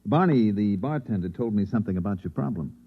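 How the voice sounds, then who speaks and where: An actor playing a skeptical, easygoing newspaper reporter with an American accent, wry and probing, in the style of 1950s radio drama. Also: male voice, fairly low pitch, lively intonation, fast talker, slightly rough. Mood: casual, probing. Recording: dull, muffled vintage radio recording.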